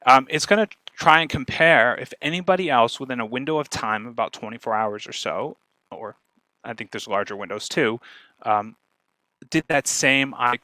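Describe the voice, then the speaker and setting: A man's voice: mid-range voice, sharp tone, no echo, rough recording. A man presenting informally online as a guest speaker, explaining in an emphatic, conversational way. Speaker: guest speaker giving a marketing talk.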